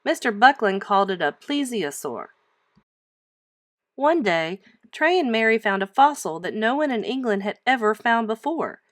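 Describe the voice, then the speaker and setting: A woman reading a children's storybook aloud like a teacher, with emphatic, expressive storytelling. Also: fairly high pitch, moderate pace, no echo, studio quality.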